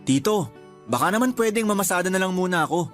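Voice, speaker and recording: A man's voice: sits high, animated, quick pace, a bit bright, faint music behind. A Filipino man playing a young nephew in a radio drama, dismissive and exasperated while acting brave. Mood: teasing, casual.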